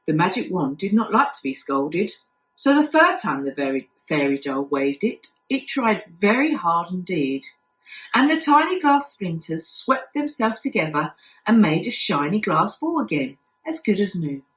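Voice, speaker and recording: A woman's voice: on the low side, big pitch swings, moderate pace, fairly bright tone. A woman reading a children's story aloud like a primary school teacher, acting out the telling expressively. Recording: echoey room.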